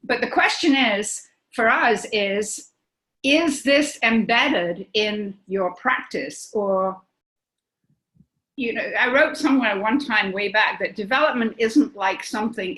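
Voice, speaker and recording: A woman with a bright, forceful voice talks through her ideas at a pediatric workshop. She thinks out loud, throwing in question after question. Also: mid-range voice, measured pace, rough recording. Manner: thinking aloud with frequent rhetorical questions.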